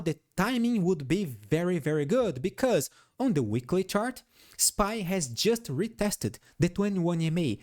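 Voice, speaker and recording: A man's voice: high voice, a little mellow. A man speaking English as a second language with a noticeable foreign accent. He walks through stock charts with emphatic, repeated phrases and keeps checking in with the viewers. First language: not English. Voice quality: noticeable non-native accent. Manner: emphatic, repeats phrases, frequent "all right?" check-ins. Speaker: stock market analyst. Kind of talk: technical analysis commentary over charts.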